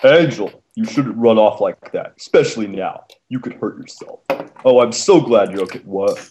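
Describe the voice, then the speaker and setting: A man voicing a gentle, timid and worried cartoon pony mare in a fan fiction reading. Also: fairly low pitch, lively intonation, normal speed, natural voice. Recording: noisy amateur mic recording.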